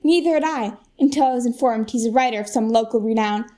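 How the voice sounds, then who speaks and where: An earnest corporate presenter, played by a young American woman with a high voice. She sells emphatically and smoothly, as in a mock keynote for a radio comedy. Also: fast talker, warm tone, natural voice. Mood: earnest, persuasive. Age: young adult. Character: earnest, polished corporate saleswoman Shelley pitching a product.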